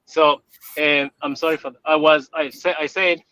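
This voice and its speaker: A man's voice: fairly high pitch, level tone, moderate pace, sharp tone, clear, resonant tone. A man in his thirties from Rwanda whose first language is French, speaking as a business coach in a live interview, hesitant and repeating words. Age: 30s. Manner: hesitant, repeats words, slight grammar slips.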